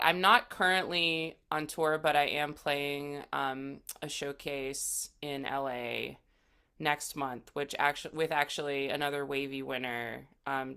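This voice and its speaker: A woman musician talking casually as a guest in an interview, with a creaky voice. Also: low-pitched, bright, forceful tone.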